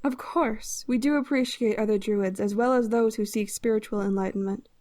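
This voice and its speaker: A woman's voice: on the high side, steady tone, moderate pace, a little mellow, dry recording, natural voice. A woman playing a composed noble druid lady in a fantasy audio drama, speaking in a formal, gracious manner.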